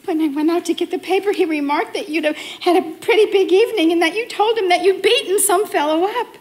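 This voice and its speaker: A woman's voice: extremely high pitch, quick pace. A woman playing a worried, insistent young wife in an old-time radio drama. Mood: anxious. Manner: anxious, pressing. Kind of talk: 1940s radio acting.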